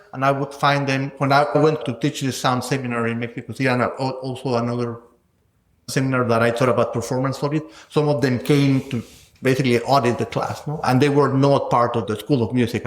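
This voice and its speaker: A man's voice: medium pitch, fairly even intonation, a little fast. A man speaking as an interviewed scholar, explaining ideas conversationally in a thoughtful way and checking in with small tag questions. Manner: thoughtful, explanatory, tags phrases with "no?" and "right?". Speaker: sound scholar, interviewed guest. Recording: echoey room.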